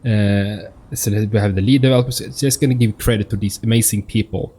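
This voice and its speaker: Husky man doing casual game commentary. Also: lowish voice, fairly even intonation, a little fast, dark tone. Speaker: gaming video host. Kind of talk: casual let's-play commentary. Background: game music.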